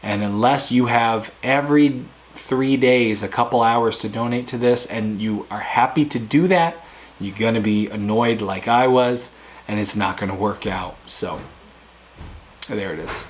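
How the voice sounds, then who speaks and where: Hoarse, plain-spoken homesteading host. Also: male voice, mid-range voice, a little slow. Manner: rambling, conversational, plain-spoken. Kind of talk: casual Q&A answering viewer questions.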